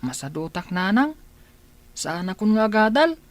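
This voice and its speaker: A man's voice: high voice, sing-song, moderate pace, dry recording. An actor with a Filipino accent playing a stubborn young male porter in a radio drama. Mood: defiant.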